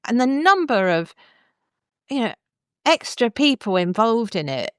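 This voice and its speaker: A woman hosting a conversational review, talking in a measured, thoughtful way. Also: a little high, sing-song, clear, resonant tone, no echo, clean studio sound. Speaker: podcast host.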